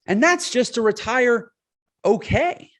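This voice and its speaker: A man's voice: very high voice, animated, slow pace, fairly bright tone. A man in his forties, a podcast guest, explaining his points emphatically and often checking in with "right?". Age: 40s. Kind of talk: casual interview talk.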